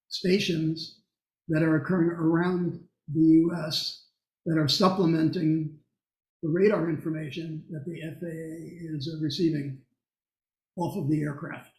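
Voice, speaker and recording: Male lecturer, slightly high voice, halting explanatory delivery, echoey recording. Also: a little mellow. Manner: halting, explanatory, with pauses mid-sentence. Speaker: presenter. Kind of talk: technical lecture with question and answer.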